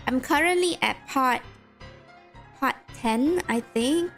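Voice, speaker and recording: A woman's voice: sits high, expressive, slow, fairly bright tone, faint music behind. Chatty Malaysian woman game streamer. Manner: chatty, reacts to chat and reads game text aloud. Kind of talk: casual game stream chat with viewers.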